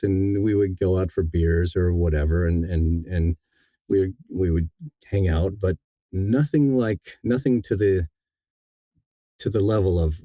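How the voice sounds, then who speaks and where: A man speaking as a guest in a casual interview, in a halting, reflective and sincere way. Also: low voice, dark tone, no echo, muffled recording. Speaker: guest, audiobook narrator.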